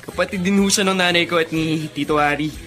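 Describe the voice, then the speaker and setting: A polite young working man in a radio drama. His voice is bright and crisp-edged, with an upbeat, respectful manner. Music plays faintly behind him. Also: a little high, steady tone, brisk. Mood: respectful, friendly. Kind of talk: Filipino radio drama acting. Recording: music under the voice.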